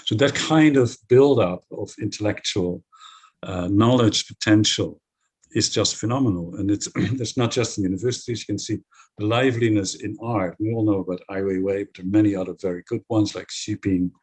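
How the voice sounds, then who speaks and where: A middle-aged Dutch-native man speaking on a discussion panel, his voice low-pitched and a bit husky. He argues his points bluntly and emphatically. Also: a little fast, a little mellow.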